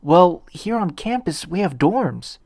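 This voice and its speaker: A man's voice: high-pitched, animated, takes it slowly, darkish tone, no room sound, clean studio sound, pitched above the performer's own voice, full character voice. A man voicing an earnest, polite teenage boy hero in a fan fiction reading, eager and a little nervous, with a stammer. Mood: eager, helpful.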